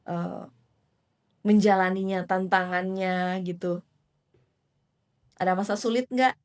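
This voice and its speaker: A woman's voice: medium pitch, fairly even intonation, slow, a little mellow. A woman hosting a casual interview, chatty, with many fillers and easy laughs.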